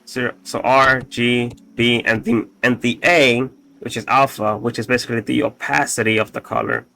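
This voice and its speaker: A man casually walking through his code, halting often with fillers and restarts, with a slightly raspy voice and the odd swear. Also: mid-range voice, fairly even intonation, bright, forceful tone. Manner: halting, full of fillers and restarts, profane asides. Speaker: coding tutorial host. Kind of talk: casual screen-recorded coding walkthrough.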